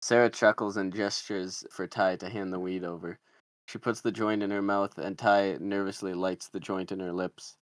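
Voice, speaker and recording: Casting: male script narrator, very low pitch, dry close recording. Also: level tone, normal speed, no room sound. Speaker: narrator reading stage directions. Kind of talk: scripted narration at a film table read.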